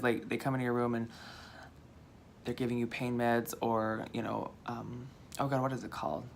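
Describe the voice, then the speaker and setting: A man from Minnesota with a fairly high-pitched, slightly hoarse voice, telling a rambling, self-deprecating story on his vlog. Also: slight background noise. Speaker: vlogger.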